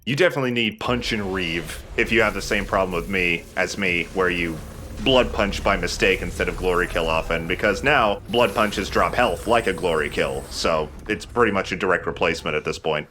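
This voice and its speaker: A man's voice: sits low, moderate pace, pushed and bright, a little hiss or hum. A man, a young adult, giving casual, joking gameplay commentary in a sardonic, grumbling, sweary way. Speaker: let's play host playing the game.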